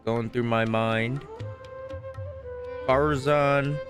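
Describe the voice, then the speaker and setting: A man's voice: medium pitch, steady tone, drawn-out words. A man streaming a game, chatting casually and thinking aloud in a rambling way. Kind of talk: casual gameplay chat. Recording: game music behind the voice. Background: video game music and dialogue.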